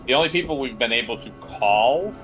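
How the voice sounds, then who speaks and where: Bright-voiced man chatting over a game. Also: mid-range voice, animated, a little fast, soft background music, rough recording. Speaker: co-commentator on a game stream. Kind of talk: casual gameplay chat.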